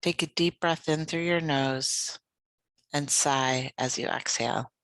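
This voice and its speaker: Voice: mid-range voice, dry recording. Soothing guided meditation voice, close and dry. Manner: gentle, soothing, unhurried instruction. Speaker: yoga nidra guide.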